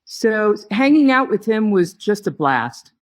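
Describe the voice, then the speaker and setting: Woman, unhurried pace, halting and reflective delivery, phrases broken by pauses, interview guest. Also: mid-range voice, big pitch swings. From not originally from Hawaii, long resident there. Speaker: podcast guest, tea grower and company head.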